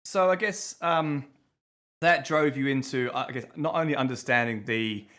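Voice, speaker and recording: A man's voice: medium pitch, moderate pace, a bit bright. Chatty man hosting a casual talk. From from abroad, living in New Zealand. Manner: chatty, loose, rambling with restarts. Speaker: podcast host. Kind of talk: casual chat.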